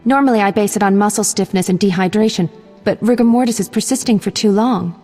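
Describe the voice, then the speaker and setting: Actress as a brisk medic in a reverberant hall. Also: female voice, mid-range voice, dark tone, faint music behind, close to an everyday voice. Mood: analytical. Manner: clinical, matter-of-fact. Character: brisk, confident young female medic, proud professional. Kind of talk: video game voice acting.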